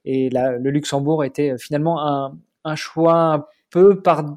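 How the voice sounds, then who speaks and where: A man in his forties talks casually as a podcast guest. His voice sits a little high for a man, and he hesitates often, filling pauses and restarting his sentences as he tells his story. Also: steady tone, darkish tone. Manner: hesitant, many fillers and restarts. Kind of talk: casual interview.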